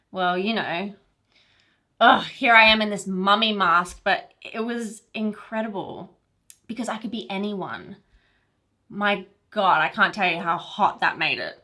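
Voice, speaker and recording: A woman's voice: medium pitch, bright, forceful tone. A woman playing a chatty newlywed who confides a romantic tale in a conversational comedy monologue. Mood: dreamy, confiding.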